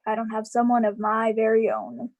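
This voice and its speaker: A woman's voice: fairly high pitch, big pitch swings, darkish tone. A woman playing a lonely, sad uncle in a live radio drama, hesitant and evasive. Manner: hesitant, halting.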